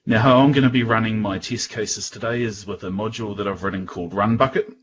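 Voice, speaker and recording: A man with a New Zealand accent giving an informal technical presentation in a casual, halting way. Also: on the low side, fairly even intonation, a bit bright, muffled recording, a little hiss or hum. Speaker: guest presenter at a tech user group.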